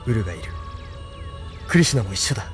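An actor playing a resolute young mecha pilot in a radio drama, earnest and declamatory. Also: male voice, on the low side, animated, fast talker, faint music behind, lightly acted. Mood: focused, tense.

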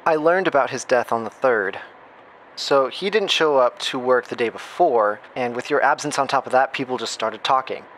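Flippant male hacker, performed by an actor, horror podcast. Talkative, awkward, average pitch, slight background noise. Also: moderate pace, a bit bright, natural voice, low-quality recording. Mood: explaining. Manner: rambling, flippant, self-interrupting. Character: chatty, awkward hacker friend leaving a secret recorded message. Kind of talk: horror audio drama acting.

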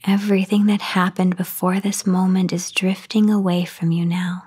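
A woman guiding a sleep meditation in a soft, breathy half-whisper, soothing and unhurried, with long pauses. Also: on the low side, little pitch movement. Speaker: meditation guide. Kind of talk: guided sleep meditation narration, soft-spoken. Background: voice only, no music or background noise.

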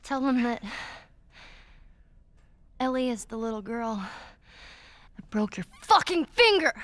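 A woman's voice: on the high side, big pitch swings, takes it slowly, a bit bright, dry recording, exaggerated voice. A woman voicing a defiant, foul-mouthed teenage girl survivor in a video game, blunt and sardonic. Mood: furious defiance. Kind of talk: cinematic video game acting.

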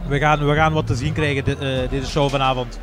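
A man's voice: medium pitch, level tone, fast, over music. A man with a Flemish Belgian accent giving live event commentary as a co-commentator at a fight.

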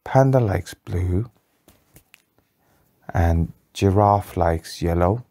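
Storyteller, very low and unhurried, patient, simple phrasing, improvising a bedtime tale for a child. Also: dark tone.

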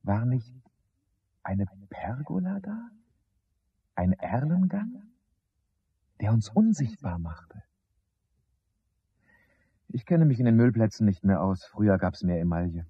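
Husky, low-voiced wistful lover. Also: male voice, big pitch swings, takes it slowly, pauses often, dry recording, exaggerated voice. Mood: urgent, reminiscing. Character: Titus, wistful male lover lost in surreal memories. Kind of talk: 1970s German radio play acting. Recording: dull, lo-fi old radio recording.

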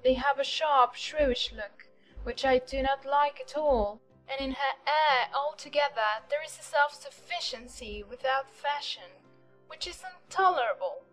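A woman putting on a sneering high society lady. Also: a little slow, a bit bright, faint music behind, low-quality recording. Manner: sneering, mock-polite.

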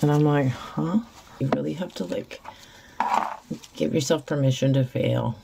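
Raspy-voiced woman crafting at her table. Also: sits low, a little slow, heavy background noise. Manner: rambling, self-deprecating, stammers and repeats. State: raspy from cheering at a concert. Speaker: resin craft channel host. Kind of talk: casual chat while crafting.

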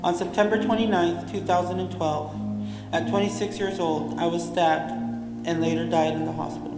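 A woman reads aloud solemnly in a slightly low voice. She is in an echoing church, with loud guitar playing behind her. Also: steady tone, moderate pace. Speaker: volunteer reader. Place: church lectern. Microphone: lectern microphone.

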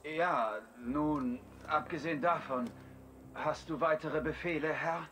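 An actor playing a gruff Saxon soldier captain, dutiful toward his lord, in a video game. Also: a little high, slow, a bit bright, slight background noise. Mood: wary, deferential. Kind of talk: dramatic video game dialogue.

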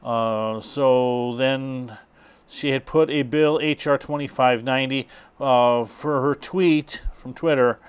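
Man, middle-aged, clear resonant voice, halting delivery, reading aloud as presenter. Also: mid-range voice, fairly even intonation. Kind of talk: introducing and reading aloud an article.

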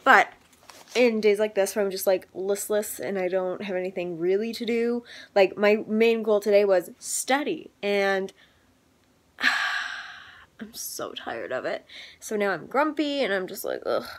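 A chatty young woman in her twenties vlogging with a handheld camera at arm's length. She talks loosely and swears freely, drifting between weary grumbling and bursts of excitement. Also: medium pitch, measured pace. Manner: chatty, swears freely, swings from tired to hyped. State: congested. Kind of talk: casual vlog chat. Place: home living room, then car and mall.

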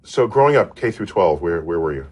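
A man's voice: deep voice, sing-song, a little fast, a little mellow, breathy edge. A man hosting an interview, affirming his guest and joking often. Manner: affirming, laughs often, playful. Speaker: host.

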